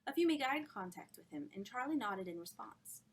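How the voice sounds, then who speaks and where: A woman author reading her own novel aloud in an expressive storytelling style. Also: on the high side, brisk, a little hiss or hum.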